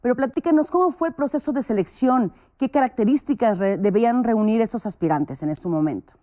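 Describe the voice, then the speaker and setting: Woman anchor with a warm voice. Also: on the high side, expressive, fairly quick. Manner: polite, conversational questioning. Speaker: news anchor, interviewer. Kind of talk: live news interview.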